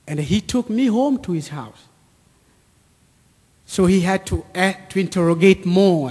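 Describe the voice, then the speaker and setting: East African man, high-pitched voice, unhurried personal testimony, deliberate phrasing, long hall reverb. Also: darkish tone, breathy edge. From Central or East Africa, near Lake Tanganyika. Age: 30s. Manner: deliberate, short repeated phrases. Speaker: speaker giving a testimony. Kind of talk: personal testimony told to an audience with slides.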